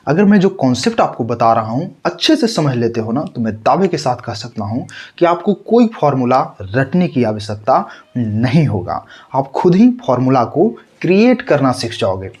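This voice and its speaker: A man's voice: mid-range voice, very animated, moderate pace, darkish tone. A young man teaching a math lesson with a sore throat that makes him strain to speak, asking rhetorical questions and checking if students follow. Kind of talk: tutorial lesson.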